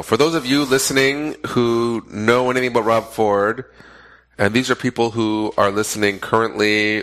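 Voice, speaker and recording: A man's voice: lowish voice, fairly bright tone. Young man, slightly nasal from a cold, joking and rambling as a casual podcast co-host. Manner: joking, rambling, self-interrupting. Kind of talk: casual chat.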